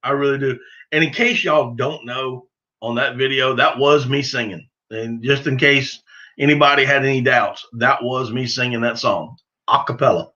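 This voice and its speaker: A middle-aged man from the American South talks with a Southern accent and a bright, forceful voice at an ordinary male pitch. He chats loosely with viewers as a livestream host, folksy and self-deprecating. The audio is somewhat dull and lo-fi. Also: steady tone, moderate pace. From southern United States. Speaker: livestream host of a duck hunting channel. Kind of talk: casual livestream chat, answering viewers.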